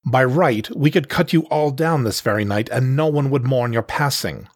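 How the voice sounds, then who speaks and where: A man's voice: medium pitch, moderate pace, no room sound, studio quality, voice pushed up, lightly acted. Man voicing a mocking, menacing male panther gang leader. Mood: stern menace. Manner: mocking, theatrical, falsely gentle.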